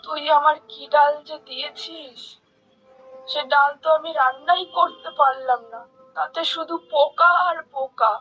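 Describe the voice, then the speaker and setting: A woman's voice: sits high, fairly even intonation, measured pace, a bit bright, soft background music, low-quality recording. A woman playing an insistent, complaining old village auntie in a cartoon. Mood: aggrieved, insistent. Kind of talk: cartoon character acting.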